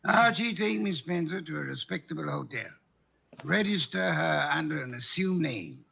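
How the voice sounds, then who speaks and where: Brief: a lordly, sedentary genius detective, slightly raised, gravelly voice, old dull radio sound. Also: male voice, fairly high pitch, animated, moderate pace, exaggerated voice. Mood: dry. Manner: pompous, precise, dryly sardonic. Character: fat, pompous, sedentary male genius detective. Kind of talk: 1940s radio acting.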